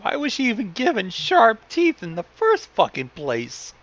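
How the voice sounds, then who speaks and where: A man's voice: high voice, wildly swooping pitch, unhurried, fairly bright tone, muffled recording. A man giving casual commentary on a story as it is read, heckling in a sardonic, sweary way.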